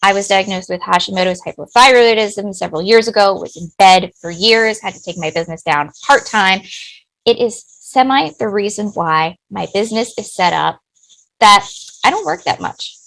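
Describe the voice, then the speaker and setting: A woman's voice: medium pitch, normal speed, creaky, low-quality recording. A middle-aged woman, a business owner, giving personal, talkative answers in an interview. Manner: personal, talkative, warm-hearted.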